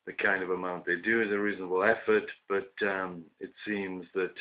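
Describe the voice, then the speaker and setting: A man from the UK giving his opinions in a casual video monologue, hoarse from a chest infection and hesitating often with ums and restarts. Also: low-pitched, level tone, fairly quick, narrow, tinny audio. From United Kingdom. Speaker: vlogger.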